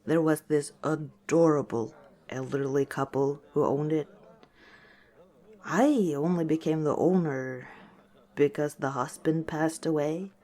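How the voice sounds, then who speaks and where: A woman voices a female orc who runs a village tavern in an ASMR fantasy roleplay. She talks very slowly and gently, in a chatty, friendly way with a teasing streak. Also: sits low. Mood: reflective. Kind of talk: ASMR roleplay, softly spoken one-sided conversation.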